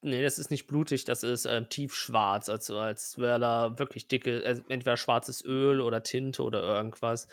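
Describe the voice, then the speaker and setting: A man's voice: medium pitch, steady tone, normal speed, hardly any pauses, no echo, studio quality. A man narrating an improvised tabletop role-playing game casually as game master, often rephrasing his descriptions as he goes.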